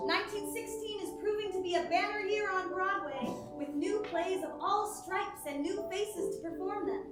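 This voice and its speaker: An upbeat news announcer from a period stage musical. Her voice is extremely high, slightly distant and echoey, with loud music. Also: normal speed. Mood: brisk, upbeat. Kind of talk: theatrical news reading.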